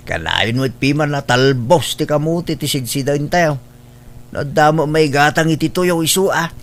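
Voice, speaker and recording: A man's voice: a little high, a little slow. An actor playing a poor, fretful elderly father in an Ilocano drama, exclaiming often in an Ilocano Filipino accent. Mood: wry. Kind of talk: Ilocano radio-style drama acting.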